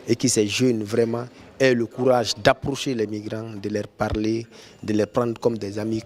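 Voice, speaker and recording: A man giving an earnest, solemn testimony as a migrant, speaking slowly and pleading with students. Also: fairly low pitch, a little mellow. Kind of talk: earnest interview statement.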